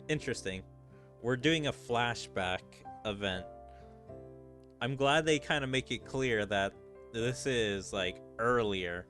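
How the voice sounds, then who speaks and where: A man's voice: on the low side, a bit bright. A man giving casual let's-play commentary, musing and thinking aloud as he goes. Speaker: gaming video creator. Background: game music.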